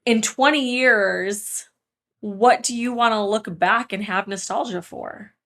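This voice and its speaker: A woman's voice: a little high, slow, a bit bright. A woman co-hosting a podcast in a casual, reflective chat, encouraging and thoughtful, often prompting with questions. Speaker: podcast co-host, therapist.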